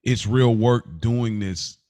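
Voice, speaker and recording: A man co-hosting a podcast, talking casually and reflectively in halting, deliberate phrases with repeated restarts. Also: medium pitch, steady tone, warm tone, no room sound, clean studio sound. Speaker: podcast co-host.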